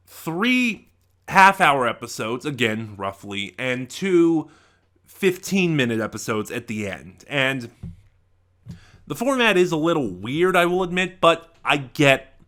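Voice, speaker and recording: Male reviewer, bright forceful tone, lively swinging pitch, informal and rambling, often restarts with emphatic stresses. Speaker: audio drama reviewer. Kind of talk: casual rambling review monologue.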